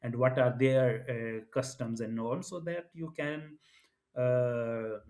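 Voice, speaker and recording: A man's voice: mid-range voice, fairly even intonation, slow, a little mellow. A man from Pakistan co-hosting a business culture talk, speaking thoughtfully with many restarts. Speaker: co-host. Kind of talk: conversational podcast discussion.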